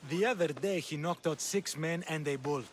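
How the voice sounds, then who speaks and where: Man playing a wry fight trainer, highish voice. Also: normal speed, lightly acted. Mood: wry, informative. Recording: clearly audible ambient background noise.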